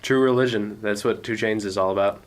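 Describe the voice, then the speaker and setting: A man's voice: lowish voice, fairly even intonation, fairly quick. A young man co-hosting a podcast, chatting in a laid-back way and laughing along. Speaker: podcast co-host. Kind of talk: casual chat. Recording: faint background noise.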